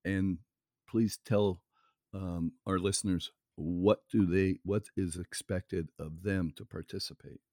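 Deep-voiced guy hosting an interview, slow and deliberate, dry studio sound. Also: steady tone, mellow, no echo. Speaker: interview host.

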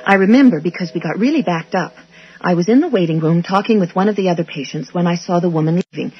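An actress playing an earnest, suspicious young wife and dental assistant, speaking insistently in a radio drama. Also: female voice, lowish voice, fast talker, slightly rough, no room sound. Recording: dull lo-fi old radio broadcast.

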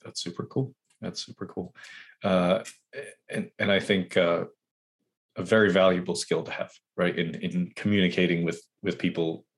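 Podcast host: man, very low voice, casual and halting, plenty of restarts. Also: flat delivery. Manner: halting, repeats words. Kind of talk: casual interview hosting.

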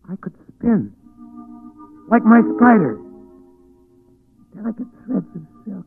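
A man's voice: high voice, heavily acted. An actor playing a crazed, vengeful man who spins webs like a spider, brooding and melodramatic in 1940s radio style. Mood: grieving, bitter. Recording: narrow, tinny 1940s radio recording.

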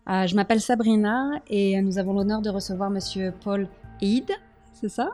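A woman's voice: mid-range voice, steady tone, normal speed, darkish tone. Polite woman hosting, faint music behind. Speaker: podcast host. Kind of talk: formal interview with prepared questions.

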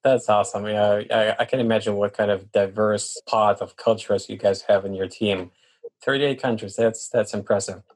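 A man hosting an interview podcast in a measured, deliberate way, sometimes repeating and rephrasing himself. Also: lowish voice, steady tone. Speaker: podcast host.

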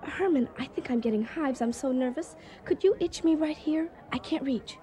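Actress as a flustered, high-voiced chatterbox hostess. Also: female voice, moderate pace, warm tone, close to an everyday voice. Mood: nervous. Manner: rambling, flustered, guileless. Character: nervous, chatty, scatterbrained female party hostess. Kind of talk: comedic radio drama acting.

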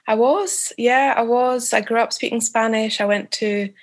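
A woman's voice: fairly high pitch, steady tone, normal speed. Woman, Scottish accent, reflective, hesitant, many fillers. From Scotland. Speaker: author guest. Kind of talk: reflective interview answers.

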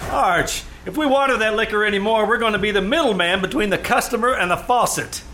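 A man's voice: sits high, brisk, bright, forceful tone. A man acting as a wry tavern waiter in a radio comedy, with folksy, dry punchlines. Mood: wry protest. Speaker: actor in a stage troupe. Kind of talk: live old-time radio comedy acting. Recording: live theater recording with background noise.

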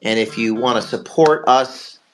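Hoarse male live-show host, middle pitch, rambling and promotional. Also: a little slow, a little hiss or hum. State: hoarse from a cold. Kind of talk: live online talk show.

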